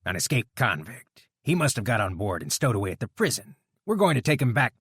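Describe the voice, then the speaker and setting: A man's voice: medium pitch, very animated, fairly quick, a bit bright, slightly rough, no echo, studio quality, exaggerated voice. A man voicing a hostile railroad security guard who snarls his threats. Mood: menacing.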